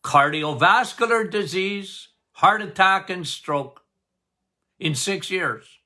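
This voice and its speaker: An older man hosting a health podcast as a doctor, talking loudly and passionately, with frequent laughs. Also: sits high, slow. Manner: loud, emphatic, passionate, laughs often. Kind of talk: casual health podcast talk.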